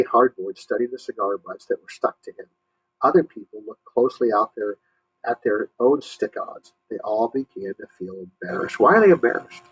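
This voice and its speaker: A man reading a story aloud to young listeners, pausing to explain and ask questions. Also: lowish voice, fairly bright tone, husky, rough recording. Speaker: storybook reader, teacher.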